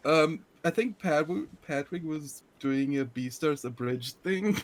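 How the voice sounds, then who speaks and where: A podcast co-host in casual banter, giggly and quick to break up. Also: medium pitch.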